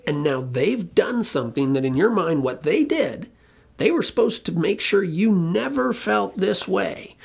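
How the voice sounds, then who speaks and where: A presenter giving an informal explainer talk in a colloquial, emphatic way, with a gravelly, husky voice. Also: medium pitch, animated, measured pace. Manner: colloquial, emphatic, occasionally swearing. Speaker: presenter explaining relationship conflict.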